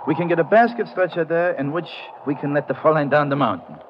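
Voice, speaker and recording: An actor playing a genial but firm mountain guide with a German accent and formal phrasing, in an old-time radio drama. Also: male voice, on the high side, close to an everyday voice. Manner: authoritative, formal, measured phrasing. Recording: muffled, noisy vintage radio recording.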